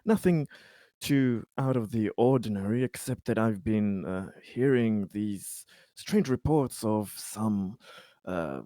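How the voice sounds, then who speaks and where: A man playing a polite, hesitant company man in a sci-fi tabletop game, speaking in halting, repetitive, formal phrases. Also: on the low side, expressive, mellow, no echo, clean studio sound. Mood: hesitant, concerned. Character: Conrad, polite, hesitant, optimistic company man at a space colony. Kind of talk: tabletop roleplay in character.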